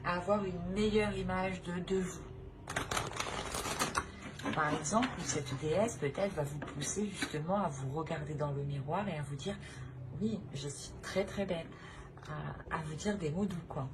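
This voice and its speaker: A woman giving an informal spiritual tarot reading, halting and repeating herself as she searches for words. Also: low voice. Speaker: tarot reader hosting a channel. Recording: clearly noisy recording with ambient sound.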